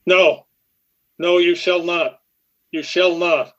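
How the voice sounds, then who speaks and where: A male actor playing an earnest, protective robot in a radio drama, measured and formal. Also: high voice, fairly even intonation, a bit bright, dull audio. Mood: defiant. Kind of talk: old-time radio drama acting.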